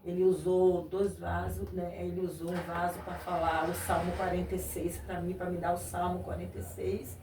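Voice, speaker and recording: A woman from Brazil giving a faith testimony as a guest, halting and repeating her phrases. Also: fairly low pitch, flat delivery. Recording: echoey room, voice a bit far from mic, faint noise.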